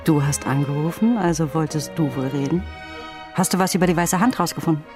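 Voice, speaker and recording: An actress playing a sharp-witted, defiant young woman with a dry, ironic, precise manner in a German radio drama. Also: deep voice, fairly quick, music in the background, natural voice. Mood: suspicious.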